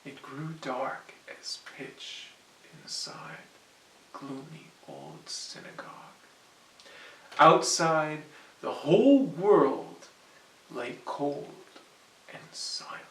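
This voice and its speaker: A man reading a children's story dramatically, moving between hushed suspense and sudden swells. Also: a little high, lively intonation, drawn-out words, background noise. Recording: roomy, echoing, distant mic.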